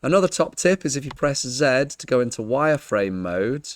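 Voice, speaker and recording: A man with a croaky, half-lost voice, talking through a screen-recorded modeling tutorial in a chatty, jokey way with frequent ums. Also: medium pitch, very animated, moderate pace. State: croaky, losing his voice. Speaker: Blender tutor.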